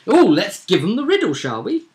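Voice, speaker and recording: A man's voice: high voice, huge pitch swings, normal speed, darkish tone. A man hosting a vidcast as game master, chatting casually and animatedly to viewers as he rambles through a roleplay session recap.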